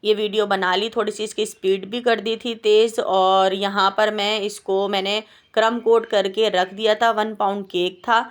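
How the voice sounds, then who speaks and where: A woman's voice: medium pitch, flat delivery, moderate pace, hardly any pauses. Woman, sore throat with coughs, plaintive, rambling voice-over, home baker. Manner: plaintive, complaining, rambling with hesitations. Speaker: home baker and cooking channel host. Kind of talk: voice-over vlog chat. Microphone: home voice-over mic.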